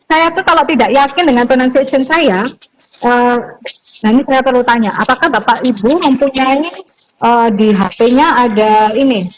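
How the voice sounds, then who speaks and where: A woman's voice: high-pitched, moderate pace, a little hiss or hum, poor audio. A woman giving an informal training lecture as a university lecturer, conversational and mixing in English terms.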